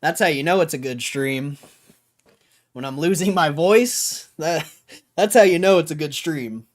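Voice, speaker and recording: A hoarse young man hosting a livestream in a loose, rambling way, talking to his chat and often clearing his throat. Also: a little high, measured pace. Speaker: livestream host.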